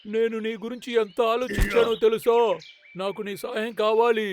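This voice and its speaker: A man voicing an anxious, excitable younger brother bear in a children's cartoon, with a pleading, exclamatory delivery. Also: very high-pitched, moderate pace, slight background noise. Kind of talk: dubbed children's cartoon acting. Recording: background music under the dialogue.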